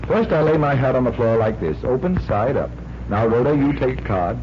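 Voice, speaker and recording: A man's voice: mid-range voice, expressive, brisk, close to an everyday voice. An American man playing an assured, suave magician detective who explains patiently, in the style of a 1940s radio drama. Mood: assured, instructive. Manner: assured, instructive. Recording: muffled, noisy 1940s radio recording.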